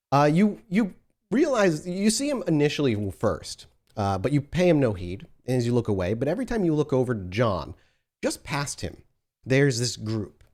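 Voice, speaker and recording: A man's voice: on the low side, darkish tone. A man running a tabletop role-playing game as storyteller, giving vivid sensory descriptions with frequent restarts.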